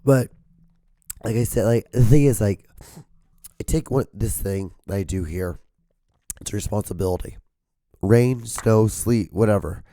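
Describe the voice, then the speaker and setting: A man hosting his own podcast with a hoarse voice that cracks and gives out. He rambles casually, swears often, and holds back his volume to spare his throat. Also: on the low side, measured pace, long pauses, warm tone, no echo. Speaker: podcast host.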